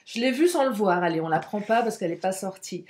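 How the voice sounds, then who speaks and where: A woman doing a tarot reading for her viewers, hoarse and speaking through a stuffed nose, halting often with fillers. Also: medium pitch, expressive, a little mellow, echoey room. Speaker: tarot card reader.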